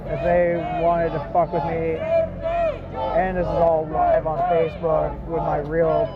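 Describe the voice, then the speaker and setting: A man's voice: on the high side, animated, takes it slowly, a loud crowd. A man chatting casually outdoors while live streaming from a street protest. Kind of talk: casual chat. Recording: muffled livestream, loud street and vehicle noise.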